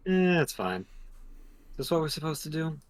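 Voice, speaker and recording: A man's voice: fairly high pitch, sing-song, normal speed. A man streaming a game with informal, agreeable commentary over game music. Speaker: streamer playing a visual novel. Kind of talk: casual game commentary and character reading.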